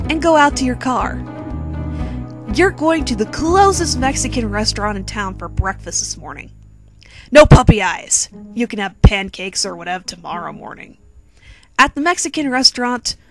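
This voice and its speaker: A woman reading a comic second-person story theatrically, with sassy, bossy asides to the listener. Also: high voice. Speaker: narrator reading own humorous fan fiction. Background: quirky music bed.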